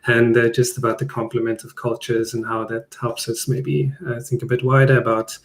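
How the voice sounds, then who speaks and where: A man with an Afrikaans walks through a live webinar. His delivery stays plain and conversational, with little rise and fall in pitch, set at a middle male pitch. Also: flat delivery, moderate pace. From South Africa. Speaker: product designer presenting a webinar. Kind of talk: presenting a live online talk.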